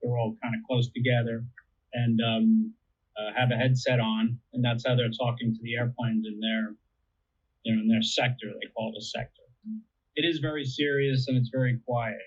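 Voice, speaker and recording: Over a narrow, phone-like connection, a man talks as an interview guest. He explains things casually and conversationally, with an average-pitched voice. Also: level tone, normal speed, slightly rough. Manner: conversational, explains with everyday analogies. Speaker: interviewee explaining air traffic control. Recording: tinny narrowband phone-like line.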